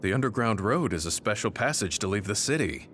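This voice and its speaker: A man's voice: lowish voice, expressive, a little fast, a bit bright, slight background noise. A man playing a serious, considerate soldier comrade in a video game cutscene. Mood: concerned.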